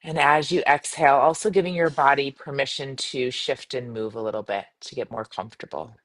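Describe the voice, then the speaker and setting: Female meditation guide, mid-to-low voice for a woman, soothing and unhurried, gentle instructions with spacious pauses. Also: steady tone. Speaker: meditation guide, yoga teacher.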